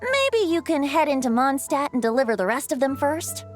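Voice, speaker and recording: High, playful boyish bard. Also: female voice, very animated, moderate pace. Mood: easygoing. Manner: eloquent, playful. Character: youthful male bard, whimsical, airy and playfully proud. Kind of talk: video game voice acting. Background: game music.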